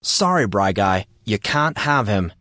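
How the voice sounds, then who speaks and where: A man's voice: lowish voice, big pitch swings, unhurried, sharp tone, dry recording, studio quality, heavily acted. A man voicing a cheeky, laid-back teenage boy with a breezy, mock-dramatic manner. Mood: mock-serious. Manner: breezy, slangy.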